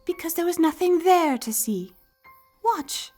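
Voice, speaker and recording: A woman's voice: very high voice, lively intonation, a little slow, dark tone, faint music behind. A woman voicing a refined young female unicorn, genteel, soothing and skeptical, in a dramatized horror story. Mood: firm, dismissive. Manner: genteel, coaxing.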